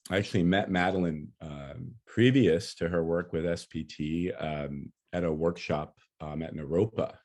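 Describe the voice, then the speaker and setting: A man speaking as a guest lecturer in an informal, reflective and conversational way, often halting as he gathers his thoughts. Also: low voice, darkish tone. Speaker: guest speaker, poet and teacher.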